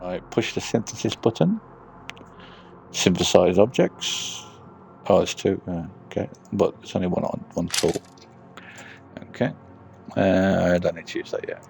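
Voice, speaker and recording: A man's voice: low-pitched, measured pace, vocal fry, pauses often, a little mellow, slight background noise. A man giving casual playthrough commentary as a gaming streamer, muttering to himself as he thinks aloud. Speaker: gaming streamer reading all game text aloud.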